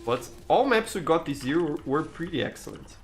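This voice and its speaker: A man's voice: fairly high pitch, lively intonation, normal speed, a little hiss or hum. A young man from Brazil with a Brazilian Portuguese accent, streaming live gameplay and chatting casually with his chat, full of restarts and "you know".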